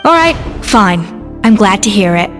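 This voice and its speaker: An actress voicing a composed adult female head chef in a video game, speaking in a calm, measured and firm way. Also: mid-range voice, big-room sound, faint music behind, natural voice. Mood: earnest.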